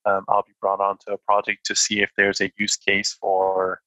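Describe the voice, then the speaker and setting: A man's voice: fairly low pitch, completely flat delivery, moderate pace, bright, forceful tone, dry recording, low-quality recording. A man from France and Spain, a podcast guest, answering thoughtfully with many fillers and restarts. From born in France, raised in Spain. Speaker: podcast guest, data analyst. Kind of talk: casual interview talk.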